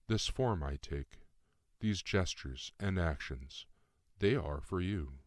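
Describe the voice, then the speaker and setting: A man voicing a calm, cryptic shape-shifting spirit guide in an audiobook, speaking in a measured, formal way. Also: sits low, dry recording, studio quality. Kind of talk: audiobook character dialogue.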